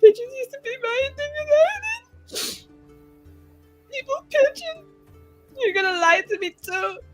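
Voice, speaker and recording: A distraught young woman played by a woman at a game table speaks in a high, whiny voice that swings with hurt. She is dramatic, bitter and sulky, as in a fantasy roleplaying game, over music. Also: expressive, measured pace.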